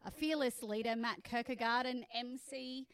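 A woman's voice: a little high, fairly even intonation, moderate pace, clear, resonant tone, no echo, clean studio sound. A woman co-hosting a podcast in casual chat, enthusiastic and chatty with many "ums". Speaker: podcast co-host.

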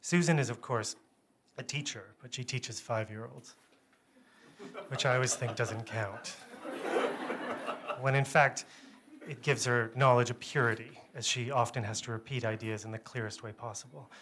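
A man reads a first-person literary story aloud, with measured phrasing and a wry, self-deprecating manner. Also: medium pitch, steady tone, crowd noise.

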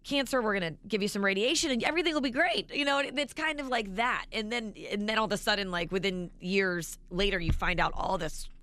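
A woman co-hosting a morning radio show, with a deep, raspy voice, chatty and self-mocking. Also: a little fast, few pauses, a bit bright. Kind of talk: casual radio banter. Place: radio studio.

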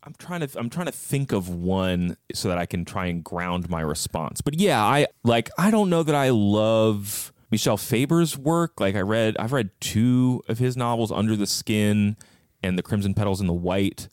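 A man with a low voice talks casually in a very dry recording. He is reflective, qualifying his opinions and working through his thoughts aloud like a podcaster in a book discussion. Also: animated, moderate pace, a little mellow, no echo. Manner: reflective, hedging, thinking aloud. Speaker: podcast co-host as guest.

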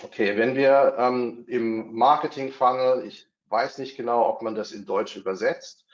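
A man's voice: medium pitch, steady tone, measured pace. A bilingual man giving a webinar talk, hesitant, with many fillers and restarts. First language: bilingual, not only German. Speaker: webinar speaker on developer marketing. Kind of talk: webinar presentation. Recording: roomy, dull lo-fi webinar audio.

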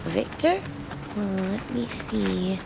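An actress playing a hesitant woman visitor in a radio drama, with an expressive, halting delivery. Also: medium pitch, vocal fry, full character voice. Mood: coyly inviting. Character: friendly, hesitant woman visitor, flirtatious then frightened. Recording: outdoor ambience noise behind voice.

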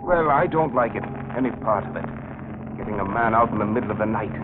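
Man playing hesitant, uneasy middle-aged gentleman, tinny radio. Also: lowish voice, expressive, natural voice. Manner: hesitant, grumbling, halting. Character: uneasy, reluctant middle-aged male former attorney. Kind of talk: 1940s radio mystery acting. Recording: old 1940s radio broadcast, tinny, noisy.

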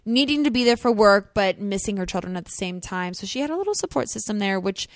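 A woman's voice: medium pitch, quick pace, no room sound, clean studio sound. A woman co-hosting a podcast, chatting and gossiping casually about celebrity news. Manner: chatty, gossipy, animated asides. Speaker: podcast co-host. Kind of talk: casual chat.

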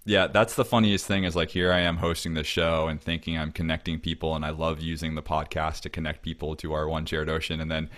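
Male podcast host, deep register, casual and conversational interviewing. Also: flat delivery, fairly quick, few pauses, a bit bright. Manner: informal, chatty phrasing.

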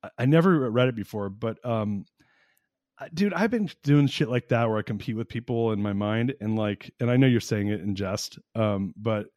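A man in his forties hosting a podcast interview, talking in an excitable, casual way with frequent swearing and laughter. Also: on the low side, moderate pace, no echo, clean studio sound. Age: 40s. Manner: excitable, profane, laughs often, animated. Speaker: podcast host.

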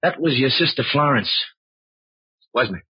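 Bossy suspicious husband, very husky voice, blunt, vintage radio play, muffled recording. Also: male voice, mid-range voice, lively intonation, moderate pace, no echo. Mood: accusing. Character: stern, suspicious working husband, bossy but caring. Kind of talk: old-time radio drama acting. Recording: dull, band-limited old radio recording.

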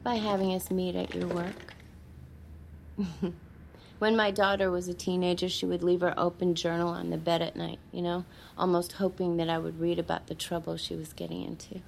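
A woman's voice: lowish voice, little pitch movement, normal speed, vocal fry, slight background noise. A woman, middle-aged or older, playing a frank, teasing mother of a grown daughter in a naturalistic audio drama.

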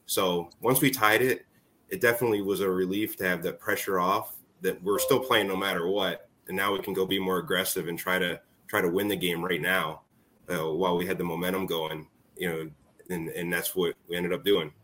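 A man's voice: deep voice, fairly even intonation, a little fast, a bit bright, a little hiss or hum. A man in his forties, a former ballplayer, speaking casually as an interview guest and telling stories. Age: 40s. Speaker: former college baseball player, interview guest.

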